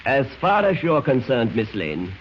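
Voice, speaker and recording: A cold German radio villain. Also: male voice, medium pitch, very animated, measured pace. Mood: cold threat. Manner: formal, precise, threatening. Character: cold, menacing German villain, formally polite. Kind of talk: 1940s radio acting. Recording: muffled, hissy old radio recording.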